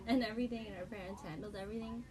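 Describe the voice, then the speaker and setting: A woman's voice: medium pitch, steady tone, rapid-fire, crowd noise. A young woman from the Philippines, talking with friends as a podcast co-host in a reflective, earnest way. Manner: reflective, earnest, thinks out loud. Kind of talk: casual chat.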